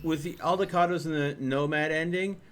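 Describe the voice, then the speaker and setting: A man's voice: fairly high pitch, fairly even intonation, normal speed, a bit bright. A man giving commentary on a video game as a streamer. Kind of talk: gameplay commentary.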